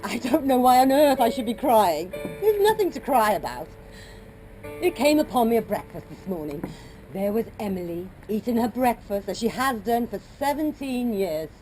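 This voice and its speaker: Woman playing a teary mother of the bride, mellow tone, faint music behind. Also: medium pitch, animated, normal speed, lightly acted, low-quality recording. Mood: wistful. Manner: wistful, teary musing. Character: tearful mother of the bride, wistful.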